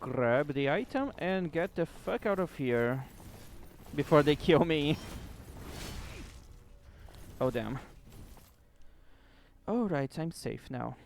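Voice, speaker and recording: A man's voice: fairly high pitch, expressive, takes it slowly, creaky, long pauses, slight background noise. A man streaming a game late at night, chatting casually with viewers, with distinctive rolled R's and casual swearing. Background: faint background music.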